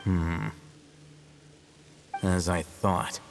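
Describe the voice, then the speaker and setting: An actor playing a calm, serious young male scholar-warrior in an anime video game, speaking tersely and without hurry. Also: low-pitched, over loud music. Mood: intent. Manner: terse, unhurried, matter-of-fact. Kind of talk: English-language anime video game voice acting.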